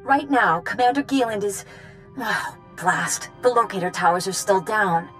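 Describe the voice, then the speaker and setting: A woman voicing a harried, earnest young prison assistant in a video game, flustered and halting. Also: mid-range voice, fairly bright tone, faint music behind, close to an everyday voice. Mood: flustered, stressed.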